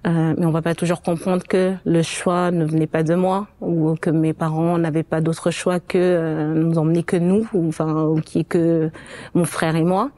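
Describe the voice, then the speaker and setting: A woman's voice: low-pitched, level tone, normal speed, warm tone. A woman from Portugal, speaking French as an additional language, gives a personal testimony as an interview guest, with frequent stops and restarts. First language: not French. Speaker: interview guest, quality manager.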